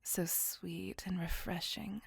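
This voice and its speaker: Woman acting a breathy, whispering, lovelorn lady vampire. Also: fairly low pitch, little pitch movement, dry recording, heavily acted. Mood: gracious, gentle. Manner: slow, formal, tender and wistful. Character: lovelorn, courteous, melancholy female vampire on a date. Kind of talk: ASMR roleplay, intimate monologue.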